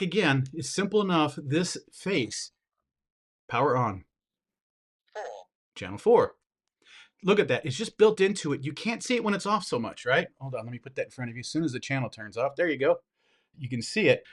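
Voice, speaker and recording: A man giving a casual product review and demonstration, talking informally to viewers as he handles the gear. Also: on the high side.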